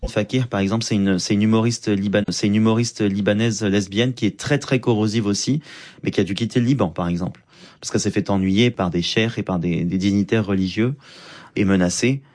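A man, a stand-up comedian, talking in an interview in a lively, flamboyant way with quick asides. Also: on the low side. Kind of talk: conversational interview talk.

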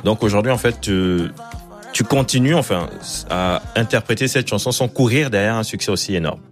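A man's voice: fairly low pitch, moderate pace, soft background music. A man hosting an interview, asking questions with many fillers and the odd joke. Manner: hesitant, full of fillers, joking.

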